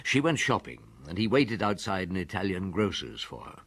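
An actor playing a shrewd, middle-aged police detective chief in a radio drama, husky and deliberate, with dryly polite authority. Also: male voice, low voice, big pitch swings, a bit bright, exaggerated voice. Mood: reflective. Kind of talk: radio drama acting, questioning and recounting.

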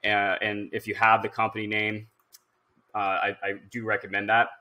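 A man in his thirties with a fairly low voice that has a bright, forward edge. He talks in an informal, instructional way, like someone walking viewers through software on screen. He often thinks aloud as he goes. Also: little pitch movement, normal speed. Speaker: tutorial presenter. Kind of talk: casual screen-share software tutorial.

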